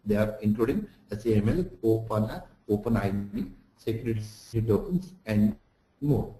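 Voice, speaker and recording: A man's voice: on the low side, lively intonation, normal speed, dark tone. A man lecturing through slides for an online lesson, explaining didactically with examples and recaps. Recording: echoey room sound.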